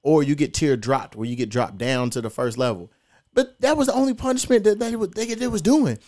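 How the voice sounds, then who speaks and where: A man's voice: fairly high pitch, lively intonation, fairly quick, darkish tone. A young man, hosting a podcast and telling stories in a laid-back, profane, slangy way. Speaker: podcast host. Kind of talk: casual podcast storytelling.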